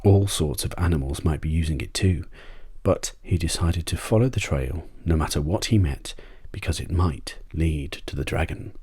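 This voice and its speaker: A man narrating a bedtime story audiobook in an ASMR style, husky and gently measured. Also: rumbling low.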